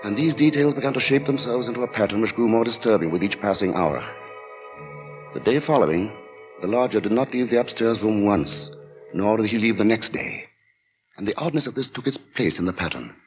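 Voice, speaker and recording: An actor narrating a 1940s radio thriller in a measured, grave and ominous manner. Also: male voice, lowish voice, expressive, natural voice. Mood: ominous, tense. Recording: dull vintage radio recording with music.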